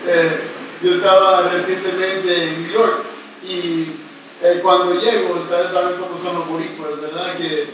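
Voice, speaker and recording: A man's voice: sits high, level tone, unhurried. A man, a priest, giving a playful sermon to a large congregation, joking and leading them in call-and-response, recorded outdoors under a big covered pavilion from a camera far back in the crowd. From not Puerto Rican. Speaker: priest preaching. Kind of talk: sermon at a retreat Mass. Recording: echoey, distant, noisy outdoor pavilion.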